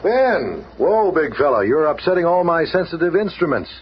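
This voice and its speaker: A man's voice: fairly high pitch, lively intonation, normal speed, close to an everyday voice. Scientist team leader male actor, grave and precise, swooping delivery, muffled vintage radio serial. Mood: puzzled, alarmed. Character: earnest, analytical scientist superhero leader. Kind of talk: 1970s radio superhero drama. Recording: dull, faintly noisy old radio recording.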